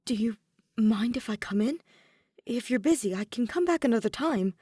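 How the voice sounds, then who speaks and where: A woman's voice: a little high, expressive, moderate pace, warm tone, slightly rough, no echo, studio quality, pitched above the performer's own voice. A woman voicing a shy, awkward and polite teenage boy in an audio story. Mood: polite, hesitant.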